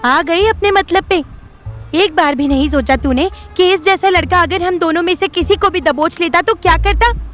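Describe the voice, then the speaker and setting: Very high-voiced vengeful young woman. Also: moderate pace, fairly bright tone, faint music behind. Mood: bitter accusing. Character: vengeful, unhinged young woman, menacing and stubborn. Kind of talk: audio drama acting.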